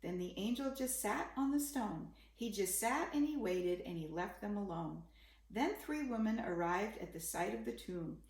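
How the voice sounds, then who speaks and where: A woman's voice: medium pitch, animated, normal speed, darkish tone, roomy sound. A woman reading a rhyming children's Bible story aloud in a simple, dramatic way, as if to a young child.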